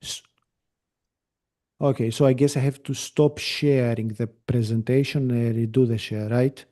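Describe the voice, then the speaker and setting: Relaxed man giving a software demo. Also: mid-range voice, measured pace. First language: Greek. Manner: relaxed and explanatory, with frequent fillers and self-corrections. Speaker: webinar presenter. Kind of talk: live screen-share demo. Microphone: video call.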